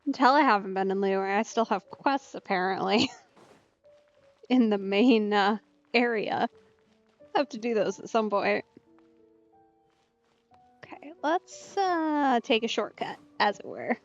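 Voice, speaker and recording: A woman's voice: mid-range voice, unhurried, pauses often. A woman giving casual let's play commentary, musing and reacting aloud in short remarks, in slightly muffled stream audio. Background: soft game music.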